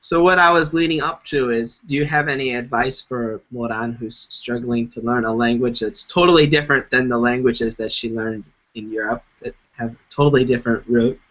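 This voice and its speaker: A man's voice: medium pitch, fairly even intonation, moderate pace, few pauses, a bit bright. A man hosting a casual discussion, framing questions hesitantly with many ums. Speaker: host. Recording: dull lo-fi live stream audio.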